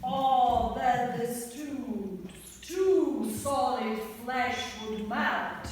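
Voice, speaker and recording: Comic radio-play young prince, foreign amateur female actor: deliberate, literal, naive, high voice, distant in a big reverberant hall. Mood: earnest reciting. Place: theatre hall, live performance. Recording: very echoey hall, distant mic, hiss.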